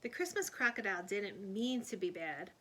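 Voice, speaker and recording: A woman reading a children's story aloud in an expressive storyteller's manner. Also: medium pitch, normal speed, slightly rough. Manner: expressive, animated delivery of dialogue. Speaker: storybook reader. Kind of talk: scripted read-aloud storytelling.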